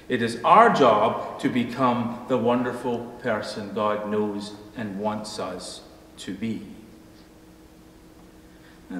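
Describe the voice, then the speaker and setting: A middle-aged man, a pastor, preaching a measured sermon from a pulpit in a church sanctuary, slowing down at the ends of his phrases. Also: medium pitch. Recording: echoey hall, faint room noise.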